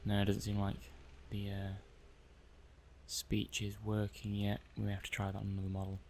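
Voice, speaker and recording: A man's voice: on the low side, monotone, moderate pace, darkish tone, slight background noise, lightly acted. A man playing a mild, methodical robot-testing technician in an ASMR roleplay, muttering hesitantly and matter-of-factly to himself. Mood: focused, tentative. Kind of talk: soft-paced ASMR roleplay monologue.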